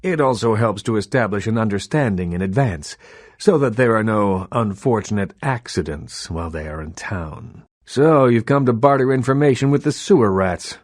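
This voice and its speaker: An old vampire lord who rules Hollywood in a video game. His voice is low and closely recorded with a dry sound. He speaks with measured eloquence, imperious and condescending, like one used to being obeyed. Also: animated, a little mellow, no echo. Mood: imperious, businesslike. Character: imperious old male vampire baron, Hollywood film mogul. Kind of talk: video game character dialogue.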